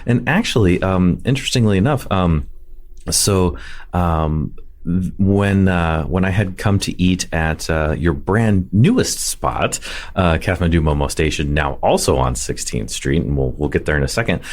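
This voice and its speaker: A man's voice: low-pitched, expressive, unhurried. A man hosting a casual food podcast, chatty and enthusiastic, with many fillers. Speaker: podcast host. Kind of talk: casual interview talk.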